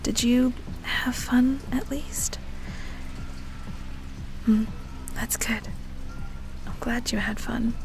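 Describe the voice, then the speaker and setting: A woman playing a devoted, teasing young woman secretly in love with a best friend, tender and halting in an audio roleplay. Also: fairly high pitch, creaky, slight background noise, full character voice, rough recording. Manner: tender, halting, stammering when rattled. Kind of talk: audio roleplay acting to the listener.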